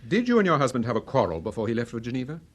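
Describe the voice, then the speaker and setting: An actor in a radio drama playing a suave, courteous, unflappable gentleman detective with a polite, probing manner. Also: medium pitch, very animated, racing.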